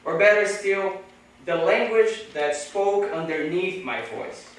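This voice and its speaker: A man's voice: high voice, fairly bright tone, slight background noise. Brazilian-accented man lecturing in an echoey hall. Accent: Brazilian Portuguese. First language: Portuguese. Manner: measured, deliberate delivery with pauses. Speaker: conference speaker. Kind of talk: academic lecture. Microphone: podium microphone. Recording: echoey hall, distant mic.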